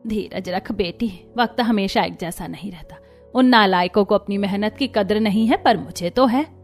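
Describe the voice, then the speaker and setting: A woman's voice: mid-range voice, moderate pace, soft background music, close to an everyday voice. A woman voicing a firm, protective older village mother-in-law in a cartoon, motherly and admonishing. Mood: consoling.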